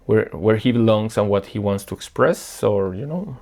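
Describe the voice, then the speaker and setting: A man from Colombia, a guest record selector chatting casually and earnestly about records, often pausing to search for words. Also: on the low side, lively intonation, gravelly, a little mellow. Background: faint music playing.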